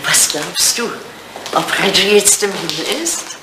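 Elderly English lady, radio drama: forthright widow, very lively intonation, playful jabs, old roomy recording with faint noise. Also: lowish voice, measured pace. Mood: pensive, frank. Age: older. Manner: playful, pointed, animated. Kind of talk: German radio play dialogue, retro 1980.

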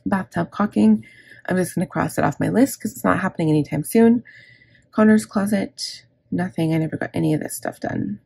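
A middle-aged woman with a scratchy, gravelly throat, chatting casually and candidly through her planner, rambling and correcting herself. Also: fairly low pitch, huge pitch swings, a little fast, mellow, no room sound. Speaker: planner vlogger. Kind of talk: casual plan-with-me chat. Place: indoors at a desk.

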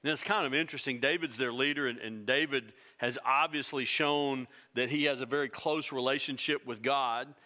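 Animated, bright-voiced male pastor. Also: medium pitch, normal speed, few pauses. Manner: animated, gesturing widely, voice swinging from loud to hushed. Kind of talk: sermon. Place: church stage.

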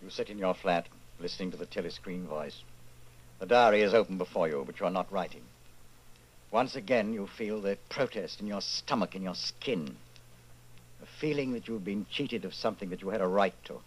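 A grave, somewhat low-pitched narrator played by a man with a husky voice. He speaks in a measured way, as in a radio play. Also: rough recording. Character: grave narrator addressing the hero as you. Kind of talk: scripted second-person radio drama narration.

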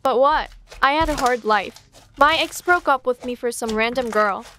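A woman acts out an aloof young gamer girl from a storytime skit. Her voice is high and her answers are curt and dismissive. A faint noise sits behind her. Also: normal speed, fairly bright tone. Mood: defensive. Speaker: voice actor in an animated storytime drama. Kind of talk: scripted amateur roleplay dialogue.

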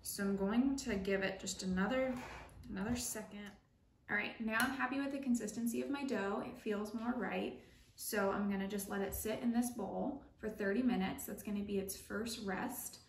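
A woman's voice: medium pitch, moderate pace. A young woman hosting a casual home baking tutorial, talking through each step in a friendly, chatty way as she works in her kitchen. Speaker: home baker and channel host. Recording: echoey kitchen with faint noise.

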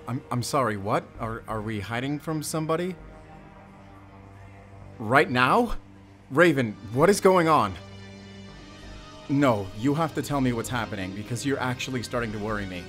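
A man's voice: medium pitch, lively intonation, unhurried, long pauses, a bit bright, soft background music. A man playing a friendly, playful young father in an intimate audio roleplay, chatting with bashful chuckles. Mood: worried. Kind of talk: intimate audio roleplay acting, addressing the listener.

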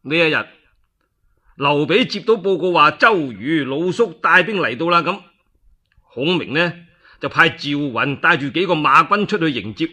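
Animated Cantonese male storyteller. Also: fairly high pitch, normal speed. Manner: animated, colloquial. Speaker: solo storyteller. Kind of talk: dramatic traditional radio storytelling narration.

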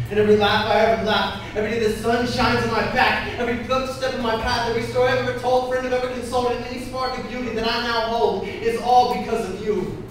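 Male slam poet, emphatic rhythmic phrasing, impassioned, distant mic, faint background noise. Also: sits high. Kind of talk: spoken-word poetry performance. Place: indoor poetry slam venue. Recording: echoey room from afar, faint noise.

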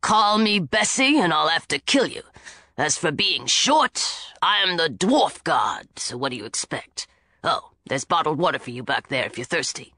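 A grumbling, blunt dwarf god chauffeur, put on by a woman reading aloud. His voice sits low and grunts out short lines. The sound is dry and close. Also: animated, normal speed, pushed and bright, dry recording, full character voice. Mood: grumpy.

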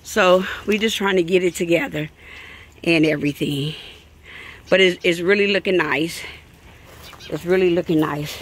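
A woman's voice: on the low side, normal speed, pauses often, a little hiss or hum. A middle-aged woman, chatting casually to her viewers as she walks them through her kitchen and backyard. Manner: chatty, talks straight to viewers. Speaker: vlogger showing her family cookout. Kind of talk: casual vlog walkthrough. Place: home kitchen and backyard, outdoors.

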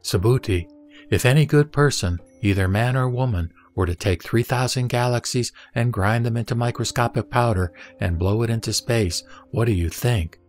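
A man playing the Buddha as a serene teacher, reciting scripture in a measured, solemn audio drama style. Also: medium pitch, darkish tone, natural voice. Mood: serene, instructive. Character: the Buddha, serene male teacher addressing his disciple. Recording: music behind the voice.

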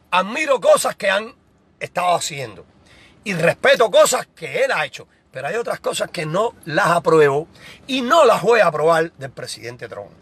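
A middle-aged man with a Cuban accent, hosting a heated, insulting video rant from a small room, repeating himself and bursting into shouts. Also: high-pitched, husky. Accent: Cuban Spanish. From Cuba. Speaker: show host.

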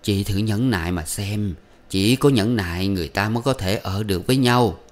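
A man voicing a kind middle-aged aunt who advises gently and coaxingly. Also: on the low side, moderate pace, lightly acted. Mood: gentle.

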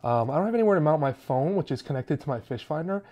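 A man's voice: fairly high pitch, expressive, quick pace, warm tone. A man, narrating a how-to video in a scripted, explanatory style.

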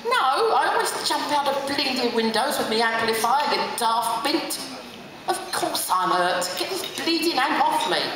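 A woman's voice: high-pitched, sing-song, normal speed, a crowd in the background, voice pushed up. A woman voicing a skinny, cheeky London lad, agitated and whining, in a live story reading. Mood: sarcastic. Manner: pained, whiny, sarcastic. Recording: very echoey hall with audience noise.

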